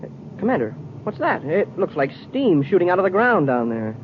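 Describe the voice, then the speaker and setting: An actor playing an earnest, inquisitive young space cadet sidekick in a 1950s radio adventure serial style. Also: male voice, high voice, big pitch swings, a little fast. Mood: curious, eager. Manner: earnest, deferential, questioning. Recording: muffled, noisy old radio recording.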